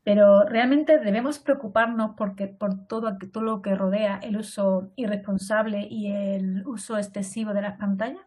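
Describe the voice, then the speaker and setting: A woman's voice: mid-range voice, steady tone, moderate pace, hardly any pauses, darkish tone. A woman hosting a podcast, talking conversationally and often checking in with tag questions. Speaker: podcast host. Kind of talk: podcast interview.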